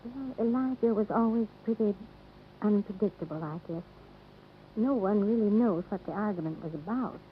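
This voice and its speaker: A tinny vintage radio recording with faint noise. An actress plays a resigned American ranch woman, compassionate and reflective, speaking politely at a middling pitch in 1950s drama style. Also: normal speed, lightly acted. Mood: resigned, pitying. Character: soft-hearted, resigned widowed ranch wife.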